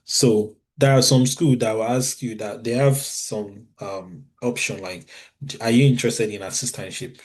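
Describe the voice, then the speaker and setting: A man's voice: on the low side, fairly even intonation, unhurried, a little mellow. A man from Nigeria with a Nigerian English accent, giving a conversational talk full of fillers and restarts. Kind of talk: informal talk.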